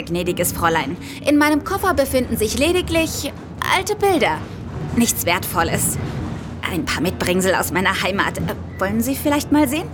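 A woman's voice: mid-range voice, natural voice. An actress playing a cunning, nervous female art thief who keeps up a polite front in an audio drama. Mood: nervously polite. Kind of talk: German audio drama acting with inner monologue.

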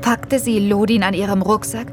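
A woman's voice: mid-range voice, fairly even intonation, moderate pace. A woman narrating a children's audio drama in a scripted storybook style. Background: music.

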